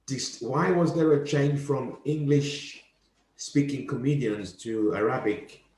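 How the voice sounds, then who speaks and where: A man's voice: mid-range voice, slow pace, a little mellow, reverberant. A man hosting a podcast, asking hesitant questions in casual chat. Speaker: podcast host.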